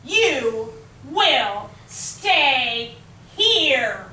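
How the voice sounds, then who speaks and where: A woman playing a menacing middle-aged female math teacher who is secretly a monster, with drawn-out sugary threats, in amateur reader's theater. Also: high voice, very animated, bright, forceful tone, heavily acted. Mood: sweetly menacing. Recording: roomy, distant, faint noise.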